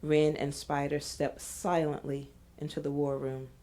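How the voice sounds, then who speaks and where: Woman, narrator, low voice, dark soft tone, scripted scene-setting. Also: level tone, measured pace. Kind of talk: scripted narration, reading stage directions.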